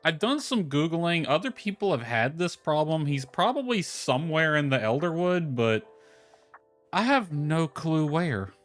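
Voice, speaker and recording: A man's voice: a little high, very animated, unhurried. A man giving casual live gameplay commentary, rambling and thinking aloud as he goes. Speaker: game streamer. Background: background music.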